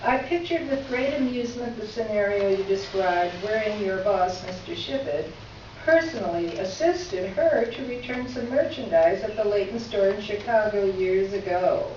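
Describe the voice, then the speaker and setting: A woman's voice: medium pitch, animated, moderate pace, a little mellow, close to an everyday voice. A woman playing a gracious, wry letter writer in a staged reading, speaking in formal, articulate phrases. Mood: wry, candid. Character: witty, gracious woman writing a candid letter. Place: echoey indoor room, recorded from afar. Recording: echoey room, distant mic, muffled, faint noise.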